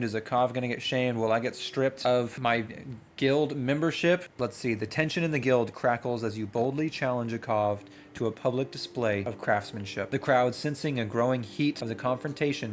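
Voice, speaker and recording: A man playing a tabletop game, reading narration aloud and thinking out loud in excitable, slangy asides. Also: medium pitch. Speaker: player and host of a gaming channel. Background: music.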